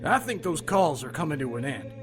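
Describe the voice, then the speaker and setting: A man's voice: a little high, big pitch swings, fairly quick, a bit bright, faint music behind. A man playing an irritated, guarded police lieutenant in an audio drama. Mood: guarded, dismissive. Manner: irritated, dismissive.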